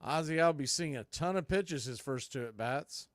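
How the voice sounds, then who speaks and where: A man's voice: a little high, expressive, moderate pace, no room sound. A man doing live baseball play-by-play as a stream host, mixing clipped calls with folksy, joking asides and casual chat.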